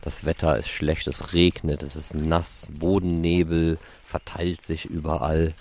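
A man's voice: very deep voice, little pitch movement, measured pace, a little mellow. A man presenting and reporting as the host of a fan podcast. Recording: noisy, ambient background sound.

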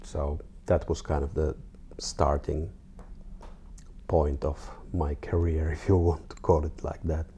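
A man in his forties with a Finnish accent and an extremely deep, mellow voice, over faint noise. He talks casually as an interview guest, in hesitant, halting phrases. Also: level tone. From Finland. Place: artist's studio.

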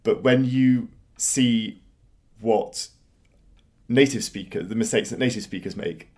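Male podcast co-host, lowish voice, hesitant and filler-heavy delivery. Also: slow pace, darkish tone. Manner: hesitant, many fillers and restarts. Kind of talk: casual roundtable chat.

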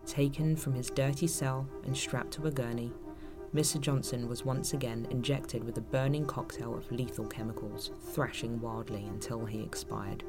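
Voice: medium pitch, lightly acted. An actor narrating a dystopian audio drama in a solemn, grave manner, as scripted storytelling. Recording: loud music under the voice. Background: music.

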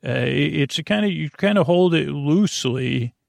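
A man hosting a bedtime podcast in a creaky voice, rambling gently and soothingly, often stammering and wandering off into tangents. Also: fairly high pitch, animated, normal speed, no echo. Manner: meandering, hesitant, stammering with tangents. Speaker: host of a bedtime sleep podcast.

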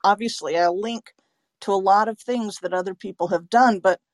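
A woman's voice: medium pitch, moderate pace, no room sound. A woman speaking conversationally as an interviewer, earnest and agreeable in manner. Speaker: interviewer, narrator and website owner.

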